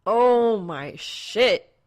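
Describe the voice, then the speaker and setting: A woman voicing a loud, brash, boastful young male gamer in a story, with a bombastic, whiny manner. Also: mid-range voice, animated, very slow, voice pushed up. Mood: whining complaint.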